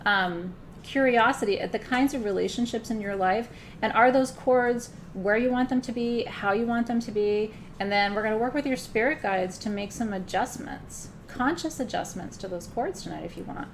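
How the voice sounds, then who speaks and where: Chatty woman teacher with gravelly fry. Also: on the high side, normal speed, a little hiss or hum. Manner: chatty, often checks in with the listener; loose, frequent fillers and restarts. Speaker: spiritual teacher leading a class. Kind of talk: conversational live class lecture.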